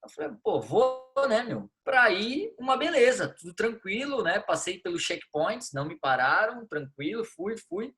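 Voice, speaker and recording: A Brazilian man in his thirties, a guest on a podcast, telling stories in a lively way, acting out dialogue and laughing often. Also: high-pitched, normal speed, few pauses. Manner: animated storyteller, acts out dialogue, laughs a lot. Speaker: football coach, guest. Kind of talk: storytelling in a podcast interview.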